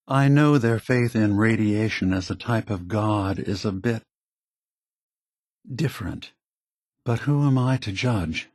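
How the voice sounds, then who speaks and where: A man voicing a calm, remorseful old synthetic android leader in a video game, speaking in a measured, grave, deliberate way. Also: on the low side, dry recording, clean studio sound.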